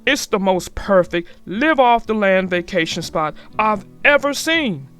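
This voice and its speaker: A woman's voice: mid-range voice, lively intonation, a little slow, a bit bright, soft background music. A woman voicing a boastful, enthusiastic father bear from a storybook in a grand, hearty, confident manner. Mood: excited, boastful. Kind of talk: storybook character voice.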